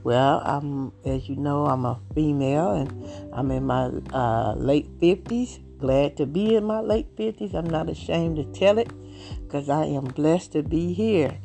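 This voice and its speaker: A woman's voice: low-pitched, measured pace, creaky, soft background music. A woman in her late fifties with a Southern US accent, hosting a podcast and talking about herself in an earnest, rambling way. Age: 50s. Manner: earnest, rambling, warm-hearted testimony. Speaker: podcast host. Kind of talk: casual talk.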